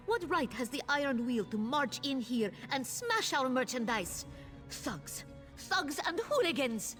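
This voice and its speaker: A woman voicing an indignant, huffy female cat-folk shop clerk in a video game. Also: fairly high pitch. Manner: huffy, speaks of self in third person.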